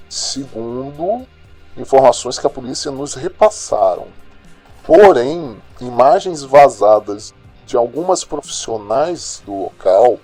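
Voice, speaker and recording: Mellow-voiced Brazilian radio crime journalist, acted by a man. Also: mid-range voice. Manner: colloquial, opinionated, dramatic, addressing listeners directly. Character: streetwise, indignant male local radio crime journalist. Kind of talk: radio news commentary in an audio drama.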